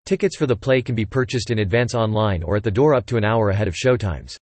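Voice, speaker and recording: A man reading English example sentences aloud for listening practice, in an even, clipped delivery. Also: lowish voice, fast, dry recording.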